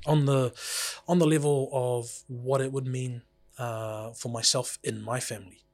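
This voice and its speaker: Man, husky voice, mid pitch, measured and thoughtful, podcast co-host. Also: fairly even intonation. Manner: measured, thoughtful, soft-spoken. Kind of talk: casual podcast chat.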